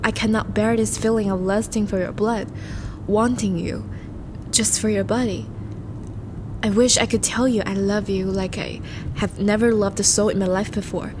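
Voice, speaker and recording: A woman playing a charming, once-noble vampire in a romantic audio roleplay, speaking formally and intimately to the listener, with a soft, hesitant manner. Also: mid-range voice, steady tone, moderate pace, background noise, close to an everyday voice. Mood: anguished longing. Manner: formal, courteous, hesitant, soft-spoken confessions.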